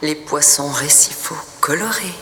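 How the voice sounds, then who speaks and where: A woman poet reciting her own poem expressively, in measured phrases with breathy, hushed endings. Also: low-pitched, a little hiss or hum. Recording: long reverb, noticeable background ambience.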